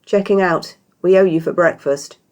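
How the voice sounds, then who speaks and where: Woman as British male traveller, storybook, low, creaky, stern, clipped, reserved. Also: normal speed, a little mellow, close to an everyday voice. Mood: quietly pleased. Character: terse, stern straight-haired male traveller.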